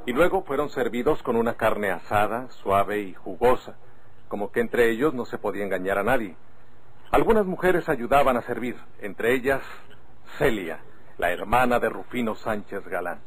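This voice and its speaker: A man's voice: on the low side, lively intonation, moderate pace, close to an everyday voice. A man playing a composed storytelling narrator of a golden-age radio drama, with a Mexican accent. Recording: faintly noisy old radio recording.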